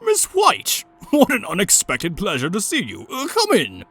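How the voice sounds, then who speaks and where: Man voicing a high-pitched, flustered older official. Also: wildly swooping pitch, a little slow, vocal fry, sharp tone, slightly rough, faint music behind, full character voice. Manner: flustered, courteous. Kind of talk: amateur comic dub voice acting.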